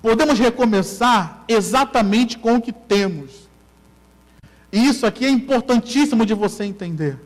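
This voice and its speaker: Carioca pastor guy, really high voice, keeps repeating himself and quizzing the church, big hall echo. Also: lively intonation, normal speed. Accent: Carioca Brazilian Portuguese. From Brazil. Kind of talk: sermon.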